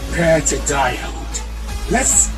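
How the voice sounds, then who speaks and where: Male voice actor, theatrical character reads, slow and very animated, slightly off-mic over music. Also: a little high, recorded from a distance. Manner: theatrical, switching between many character voices. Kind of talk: voice acting demo reel of game and cartoon character impressions.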